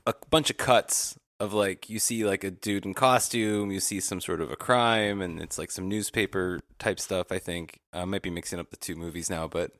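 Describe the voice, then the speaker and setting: A man's voice: low voice, fairly even intonation, normal speed, hardly any pauses, a bit bright. A man co-hosting a podcast in casual chat, slangy and irreverent with some swearing. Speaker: podcast co-host.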